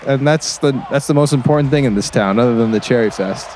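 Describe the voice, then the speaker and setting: A man playing an earnest small-town color commentator on sports radio, rambling in a halting, repetitive, folksy way. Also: medium pitch, a little mellow, close to an everyday voice. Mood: gravely earnest. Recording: faint gym crowd and noise behind.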